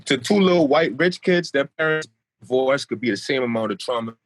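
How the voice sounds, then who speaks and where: A man's voice: mid-range voice, animated, brisk. A young man with a light Bahamian accent mixed with South Florida, talking loosely as a podcast guest and often repeating himself. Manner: laid-back, rambling, repeats himself. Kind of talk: casual podcast chat.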